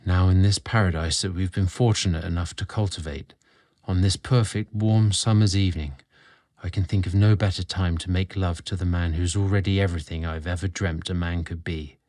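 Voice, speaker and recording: Romantic audio-story butler, acted by a man: young, devoted, soft earnest delivery, lowish voice. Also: steady tone, fairly quick, no echo, clean studio sound, lightly acted. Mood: tender, adoring. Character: tender young butler deeply in love.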